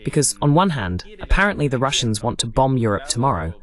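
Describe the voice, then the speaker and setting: A man hosts a political talk in a translated voice-over. His pitch sits in the middle of the male range and moves up and down in an animated way. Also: fast talker. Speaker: host.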